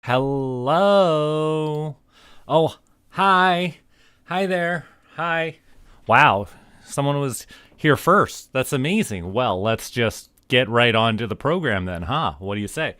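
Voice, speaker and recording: A man chatting casually on a livestream as host, rambling and halting in asides to viewers. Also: medium pitch, expressive, fairly bright tone, clean tone, no echo. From Canada. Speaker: streamer, VTuber host.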